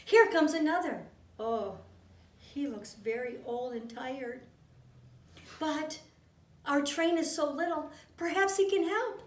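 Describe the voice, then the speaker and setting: A woman voicing a cheerful little toy clown who pleads in a high, eager voice. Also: lively intonation, a little slow, a little mellow, reverberant, far from the mic. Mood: hopeful.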